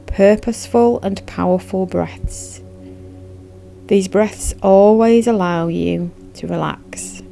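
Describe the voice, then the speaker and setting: A woman narrating a guided meditation in a soothing, measured, instructive manner. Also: mid-range voice, vocal fry, dark tone. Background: background music.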